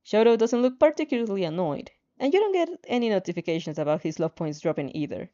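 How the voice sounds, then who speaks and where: A woman reading fan fiction aloud in an animated way, now and then stumbling and rereading a phrase, on a slightly dull recording. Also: medium pitch, fast. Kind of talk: animated story reading with asides.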